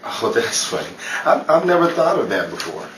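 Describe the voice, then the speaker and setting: Man playing a lively, charming 1930s gentleman. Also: a little high, moderate pace. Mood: amused. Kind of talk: old-time radio acting. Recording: roomy, distant-mic recording with clear noise.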